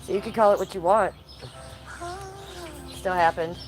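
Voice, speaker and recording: A woman's voice: on the low side, steady tone, normal speed. Congested woman livestreaming with music behind. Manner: coughs often, talks to chat by name. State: congested with a cold. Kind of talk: casual live stream chat reading comments. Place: outdoors.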